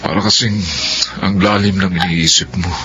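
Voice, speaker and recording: An actor with a Filipino accent playing an earnest, devoted husband in a radio drama. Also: male voice, low-pitched, steady tone, normal speed, pushed and bright, highs cut off, soft background music. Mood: concerned.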